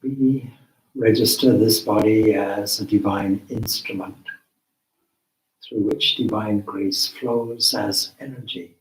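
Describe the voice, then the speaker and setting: Male meditation guide: lowish pitch, very unhurried, deliberate delivery with long pauses, recorded in an echoing room. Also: steady tone. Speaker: meditation teacher, swami. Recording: roomy, echoing space.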